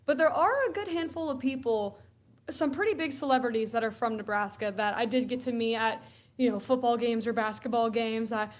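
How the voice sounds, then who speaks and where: A woman's voice: fairly high pitch, a little fast. A young woman from Alabama, guest on a sports talk show, chatting casually and laughing often. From Alabama, USA. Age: young adult. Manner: chatty, laughs often, acts out quoted exchanges. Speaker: guest co-host.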